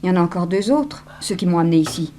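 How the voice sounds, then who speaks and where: An actress playing an anxious, plucky young heroine in a 1960s French radio detective serial. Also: female voice, lowish voice, animated, very fast, mellow, faint music behind, close to an everyday voice. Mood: anxious, wary.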